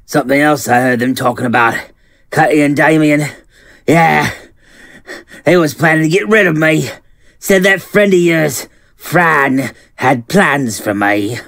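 An actor playing a volatile, menacing small-time thug and abusive boyfriend in an audio drama, with a rough, bullying, slangy way of talking. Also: male voice, a little high, measured pace, fairly bright tone, no echo. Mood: menacing anger.

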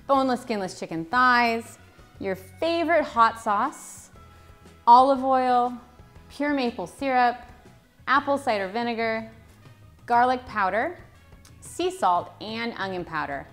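A woman's voice: on the high side, expressive, measured pace, pauses often, a bit bright, faint music behind. Upbeat woman walking through a recipe. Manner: upbeat, listing ingredients one by one. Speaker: cooking channel host. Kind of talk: recipe tutorial with voice-over. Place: home kitchen, indoors.

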